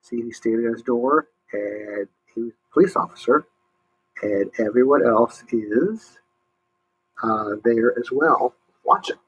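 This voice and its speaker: A man reading a story aloud to young listeners, pausing to explain and ask questions. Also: mid-range voice, fairly bright tone, highs cut off, slight background noise. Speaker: storybook reader, teacher.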